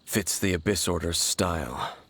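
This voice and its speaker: A man playing an aloof, guarded warrior in a video game, grave and world-weary, with measured, formal phrasing. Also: deep voice, vocal fry, background noise. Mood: aloof, serious. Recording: background music under the voice.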